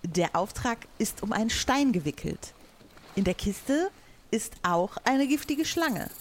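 A woman's voice: fairly high pitch, big pitch swings, a little slow, a little hiss or hum. A woman playing a commanding pirate captain in an improvised audio play, speaking in ceremonious, old-fashioned phrases. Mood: solemn, commanding. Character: commanding female pirate captain addressing her crew.

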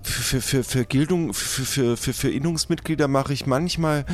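A man's voice: medium pitch, normal speed, natural voice. A man playing a flustered, wary shopkeeper in a pirate role-play, stammering and repeating his words as he haggles. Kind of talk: improvised role-play dialogue.

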